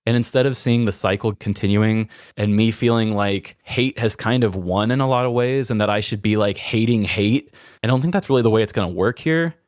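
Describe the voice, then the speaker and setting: A man's voice: fairly low pitch, fairly quick, a little mellow, dry recording, clean studio sound. A man hosting a podcast, speaking candidly and earnestly about personal matters in a self-deprecating way. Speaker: podcast host. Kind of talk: candid personal talk, then reading a personal essay.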